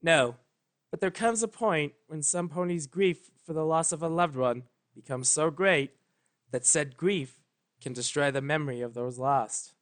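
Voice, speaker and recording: Man reading as a solemn, regal night princess. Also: on the high side. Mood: gently firm. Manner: measured, gently firm, formal. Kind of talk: dramatic fan fiction reading.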